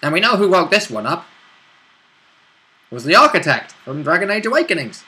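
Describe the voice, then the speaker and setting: A man's voice: high voice, very animated, a little fast, sharp tone. A man chatting casually as a tabletop game player, putting in wry, dry asides. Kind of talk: casual chat, interjecting in a game recap.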